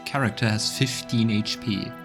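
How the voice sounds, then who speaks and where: A man's voice: on the low side, fairly even intonation, moderate pace. A man giving casual let's play commentary, thinking aloud as he goes. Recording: faint game music behind.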